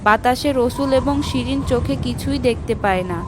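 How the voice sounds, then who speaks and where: A woman's voice: fairly high pitch, fairly quick, background noise. A woman giving scripted narration of a fairy tale for children. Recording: music behind the voice.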